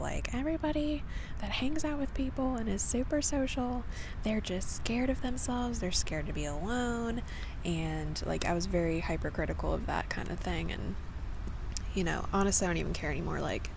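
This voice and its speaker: Woman vlogging outside, kinda low creaky voice, candid rambly thoughts, background noise. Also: expressive, normal speed. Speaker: vlogger and podcaster. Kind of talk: casual reflective monologue. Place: outdoors in a cemetery. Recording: noisy outdoor ambience, traffic hum.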